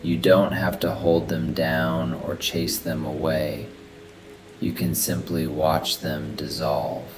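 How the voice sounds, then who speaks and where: A man narrating a guided sleep meditation in a soft, soothing, unhurried manner. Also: very deep voice. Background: steady rain sounds and soft background music.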